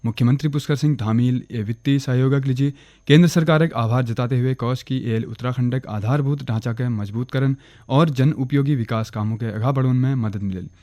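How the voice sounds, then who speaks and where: A man with a Kumaoni accent reading a regional radio news bulletin in a steady, formal way. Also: medium pitch, brisk, dry recording, studio quality. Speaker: newsreader. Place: radio studio.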